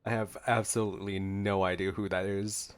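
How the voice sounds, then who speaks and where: A man's voice: sits low, little pitch movement, moderate pace, clean tone. A man giving casual gameplay reaction commentary as a streamer, with game music behind him. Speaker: gaming streamer.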